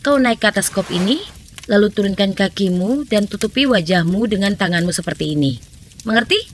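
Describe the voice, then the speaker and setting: A woman's voice: medium pitch, lively intonation, a little fast, lightly acted. A woman voicing a sly, hungry cartoon fox who puts on a playful, coaxing act in a theatrical way. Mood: scheming. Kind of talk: animated children's fairy-tale acting. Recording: clearly noisy background.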